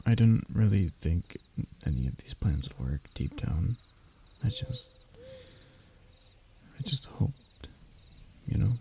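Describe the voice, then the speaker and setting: A man playing a lovesick young royal heir in an intimate romance roleplay, stammering and hesitant, with a husky, breathy voice. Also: lowish voice, big pitch swings, slow pace, pauses often, crowd noise, full character voice. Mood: hurt, resigned. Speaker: voice actor in audio roleplay.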